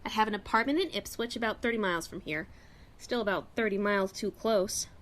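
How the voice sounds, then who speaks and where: A woman's voice: mid-range voice, a little fast, fairly bright tone, natural voice. A woman playing a chatty, outspoken young store clerk in a radio play, talking in a folksy, slangy, confiding way. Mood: chatty, frank.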